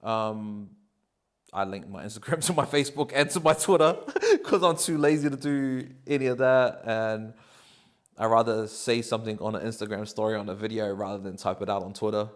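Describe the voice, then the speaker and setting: A man, answering interview questions in a relaxed, rambling way with many ums and likes. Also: on the low side, normal speed. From New Zealand. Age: 30s.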